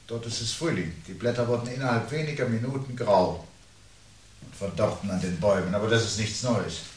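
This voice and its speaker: An actor playing a brooding, articulate man who calmly awaits the world's end in a radio play, speaking in a measured, formal, sardonic way. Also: fairly low pitch, natural voice. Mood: resigned, brooding. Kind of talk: 1970s German radio play acting. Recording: 1970s radio production with audible background noise.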